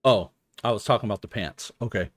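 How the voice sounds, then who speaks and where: A man giving casual gaming stream commentary, explaining things hesitantly as he thinks out loud. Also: on the low side, fast, slightly rough, dry recording, studio quality. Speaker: game streamer.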